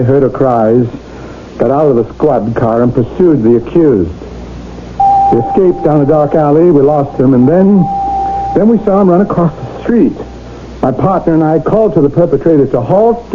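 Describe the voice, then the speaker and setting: An actor playing a police officer, reporting in an official, matter-of-fact way in a radio drama. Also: male voice, fairly high pitch, animated, moderate pace, slight background noise, natural voice. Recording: dull lo-fi old radio broadcast.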